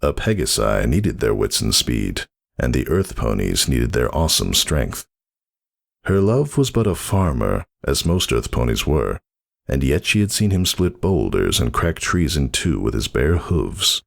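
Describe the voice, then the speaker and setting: A man's voice: a bass voice, big pitch swings, unhurried, vocal fry. A man narrating a fantasy story in a dramatic, scripted reading style.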